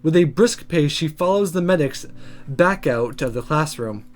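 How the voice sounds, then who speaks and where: A man reading visual novel text aloud as dramatic, expressive first-person story narration. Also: a little high, moderate pace, soft background music.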